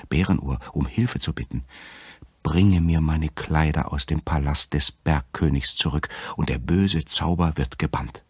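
Enchanted fairy-tale princess, pleading and earnest, read by a man, very low, husky register. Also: darkish tone, no room sound, clean studio sound, full character voice. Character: enchanted young tsar's daughter, earnest and pleading.

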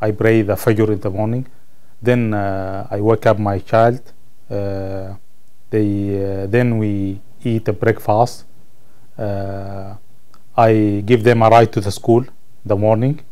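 A man in his thirties from Sudan, a native Arabic speaker with a Sudanese Arabic accent, answering interview questions as a student in halting English, searching for words. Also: fairly low pitch, level tone, warm tone. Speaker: college ESL student being interviewed. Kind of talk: interview answers about own life. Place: indoor room, seated on camera.

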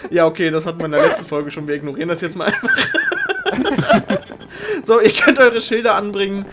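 A man's voice: high-pitched, big pitch swings, brisk, very noisy. A man hosting a Minecraft game show casually and comically, informal, sweary and giggly in his exasperation. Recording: music behind the voice. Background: music.

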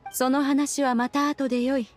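A woman's voice: high voice, little pitch movement, moderate pace, natural voice. An actress playing a composed, commanding female master in an anime game, with stately, old-fashioned phrasing. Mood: composed, authoritative.

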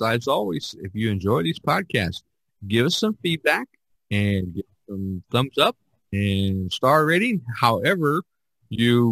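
A man hosting a podcast in casual chat, speaking haltingly and repeating his phrases. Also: fairly low pitch, fairly bright tone, dry recording, poor audio. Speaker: podcast host.

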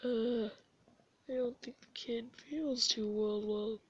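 Woman voicing a laid-back skeleton, lower and slower. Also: steady tone, breathy edge, highs cut off. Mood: casual. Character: laid-back, weary older skeleton brother, joker. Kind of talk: comic dub voice acting.

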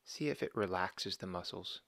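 A man slowly and calmly guiding a body scan meditation, giving gentle step-by-step instructions with long pauses. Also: low voice, vocal fry, no echo. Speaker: meditation guide.

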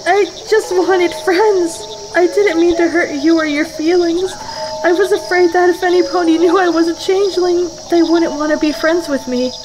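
A woman's voice: very high voice, steady tone, normal speed, background noise. A woman voicing a timid, emotional young changeling outcast in a cartoon. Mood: pleading. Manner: pleading, emotional. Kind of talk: animated cartoon voice acting.

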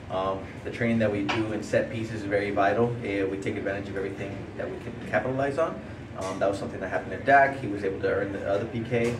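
Quick, low-voiced player, echoey room. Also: male voice, recorded from a distance. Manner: polite, measured answers. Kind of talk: post-game interview answers. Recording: echoey room from off-mic with noise.

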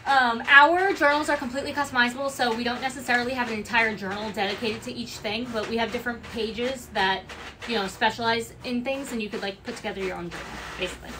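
A chatty woman with an Italian-American Bronx accent talks casually on a livestream, reading out orders and viewer comments. Her voice sits a little high. Steady background noise and clicks from a small office fill the recording. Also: quick pace, rough recording. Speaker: livestream co-host packing orders.

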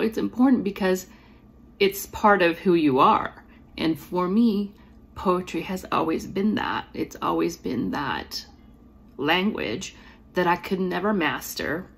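A woman in her forties talks earnestly to a camera in a small room at home. Her voice sits deep and carries a gravelly edge, and a slight lisp colors her words. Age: 40s. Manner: reflective, earnest, with fillers and restarts. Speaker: poet and author. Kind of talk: personal storytelling to camera. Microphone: device camera at close framing.

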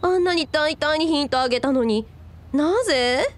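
A woman's voice: high-pitched, moderate pace, close to an everyday voice. A woman playing a breezy, teasing young woman in casual radio drama dialogue. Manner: teasing, playful.

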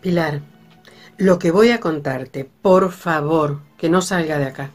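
A woman's voice: sits low, measured pace, soft background music. An actress playing a composed, discreet lady of a ranch-owning family in a radio drama, with a Rioplatense accent. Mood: grave, confiding. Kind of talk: radio soap opera acting.

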